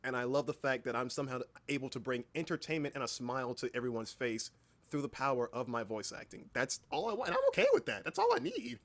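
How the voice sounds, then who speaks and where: A man's voice: medium pitch, sing-song, fast, gravelly. A man hosting a casual livestream chat, speaking informally, with repeated words and occasional swearing. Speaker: livestream host and hobby voice actor.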